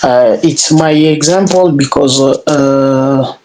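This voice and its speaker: Slow, halting African man speaking English. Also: medium pitch, a little mellow, low-quality recording. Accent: East or Central African. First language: Swahili. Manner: halting, searching for words and repeating phrases. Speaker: English learner. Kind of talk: casual conversation practice.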